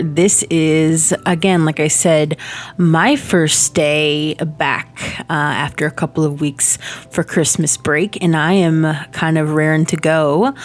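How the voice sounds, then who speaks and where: Low-voiced woman with a stuffy nose. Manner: rambling, many fillers, self-laughing asides. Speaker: Sims building channel host. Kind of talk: casual chatty gameplay commentary. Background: soft background music.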